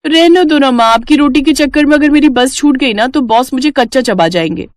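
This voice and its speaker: A woman's voice: high voice, fairly quick, no room sound, voice pushed up, lightly acted. A woman voicing a playful young Indian daughter who teases and pleads with her parents in a story. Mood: hurried. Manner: pert, pleading, teasing. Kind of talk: animated Hindi moral story dialogue.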